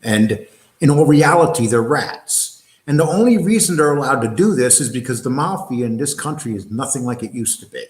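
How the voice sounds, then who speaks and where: A man's voice: mid-range voice, lively intonation, normal speed, roomy sound. A man hosting a livestream talk show, blunt and rambling, throwing out rhetorical questions and reading viewer comments. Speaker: show host.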